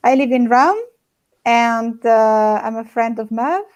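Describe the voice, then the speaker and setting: A woman's voice: a little high, takes it slowly. A woman in her forties from Italy, Italian her first language, introducing herself cheerfully. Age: 40s. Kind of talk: casual self-introduction. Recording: distant from the mic.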